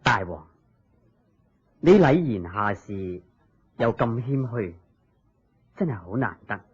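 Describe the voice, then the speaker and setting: An actor playing a serene, wise Buddha in a Cantonese radio drama, teaching in measured phrases with a husky voice and a Hong Kong accent. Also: male voice, fairly low pitch, very animated, exaggerated voice. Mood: gracious. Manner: measured, sermon-like explaining in short phrases. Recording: dull lo-fi radio drama recording.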